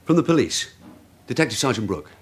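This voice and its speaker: An actor playing a brisk, authoritative police detective sergeant in a radio drama, with a clipped, probing, commanding manner. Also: male voice, mid-range voice, big pitch swings, slight background noise, close to an everyday voice. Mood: businesslike.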